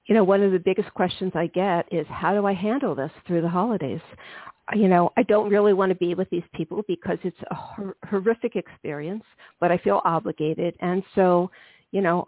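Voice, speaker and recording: Female podcast host, slightly gravelly, chatty with ums, dry tinny phone-line sound. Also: fairly low pitch, dry recording. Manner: warm, chatty, many ums and soft laughs. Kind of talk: hosting a healing-themed interview podcast.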